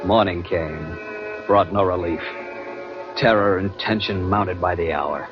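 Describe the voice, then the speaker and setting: A low-voiced, grim adventurer narrator. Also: male voice. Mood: grim, ominous. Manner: tense, deliberate, ominous. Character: grim, urgent male adventurer narrating a shipboard nightmare. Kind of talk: 1940s radio acting, dramatic first-person narration. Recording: muffled old radio broadcast, musical backing. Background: dramatic music.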